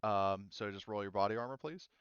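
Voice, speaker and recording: A man with a lowish, very clear voice runs a tabletop roleplaying game. He talks through rules and rulings haltingly, working things out aloud. The sound is dry and slightly muffled. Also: no echo. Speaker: game master.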